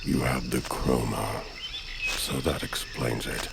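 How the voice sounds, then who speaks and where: A man voicing a haughty, menacing ancient oracle in a video game, gravelly and pompous, with slow theatrical delivery. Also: steady tone, heavy background noise, heavily acted. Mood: menacing, gloating. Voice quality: gravelly, very deep. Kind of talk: video game villain acting.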